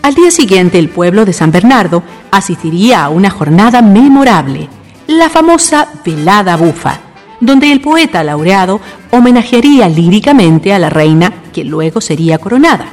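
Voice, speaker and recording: A woman's voice: medium pitch, sing-song, moderate pace. A woman with a Chilean accent reading scripted narration for a radio drama. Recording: long reverb, faint music behind.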